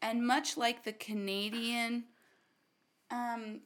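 A woman with a fairly high voice tells a long, meandering story in casual chat. She pauses on "um" and "uh" and starts phrases over. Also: flat delivery, a bit bright. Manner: rambling anecdotes, hesitant fillers. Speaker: podcast co-host.

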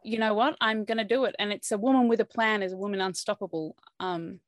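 A woman's voice: mid-range voice, fairly even intonation, quick pace, no room sound. A middle-aged woman hosting an interview, affirming and encouraging her guest. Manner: warm, affirming, calls guest "my love". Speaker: podcast host. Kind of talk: conversational interview.

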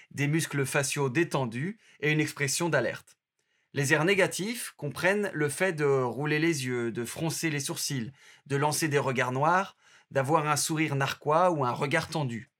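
Male narrator, a touch high-pitched, reads course material in a steady, teacherly way. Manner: steady instructive reading. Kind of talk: scripted narration.

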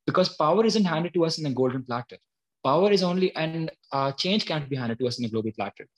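A man's voice: a little high, lively intonation, fast. A man, talking earnestly and explaining his views as an activist guest in an interview. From Pakistan. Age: early 20s. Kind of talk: spontaneous interview talk.

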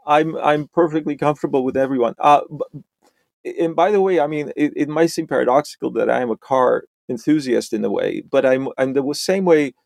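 A middle-aged man from Romania, a podcast guest, talking in a halting way with many restarts and repeated words. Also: mid-range voice, gravelly, darkish tone, dry recording, clean studio sound. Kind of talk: conversational interview.

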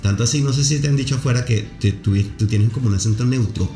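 A man's voice: medium pitch, quick pace, warm tone. A man hosting a podcast interview, talking at length in an effusive way and explaining marketing terms to listeners. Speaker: podcast host. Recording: echoey room with faint music.

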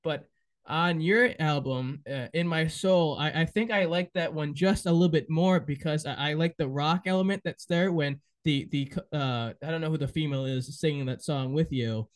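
A man's voice: on the high side, moderate pace. Man hosting, eager with short affirmations. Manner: enthusiastic, many short affirmations. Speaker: podcast host. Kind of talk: casual interview chat.

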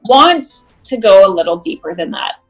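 A woman's voice: medium pitch, big pitch swings, normal speed, faint music behind, poor audio. A woman guest, a movement specialist, explaining her approach in an earnest, conversational way. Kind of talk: conversational interview answers.

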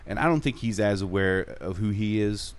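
A man's voice: on the low side, normal speed. A man telling a story in casual podcast chat, acting out the people he quotes. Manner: animated storyteller, acts out quoted voices. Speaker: podcast guest.

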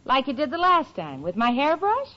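A woman's voice: sits high, huge pitch swings, normal speed. A woman playing a sensible, exasperated young roommate with dry, sarcastic timing in a noisy, muffled 1940s radio sitcom. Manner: dry, sarcastic, sharply articulated comic delivery. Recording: muffled, noisy old-time radio broadcast.

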